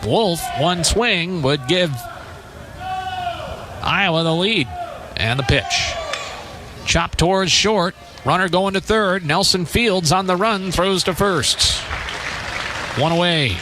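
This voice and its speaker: A man doing live radio baseball play-by-play in clipped phrases mixed with measured description. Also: sits high, animated, a crowd in the background, background noise. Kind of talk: live radio sports commentary.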